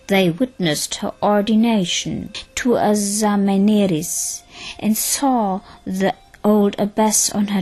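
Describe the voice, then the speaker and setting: A woman's voice: medium pitch, a little mellow, rough recording. A woman narrating a scripted audio drama as a storyteller. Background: music.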